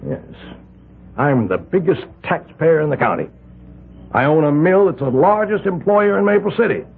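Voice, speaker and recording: An actor playing a domineering wealthy mill owner who leads a vigilante group, in a 1970s radio drama, with a husky, gravelly voice. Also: male voice, a little high, animated, moderate pace, full character voice. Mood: blustering. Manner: blustering, swings from soft to loud. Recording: narrow-band old radio broadcast.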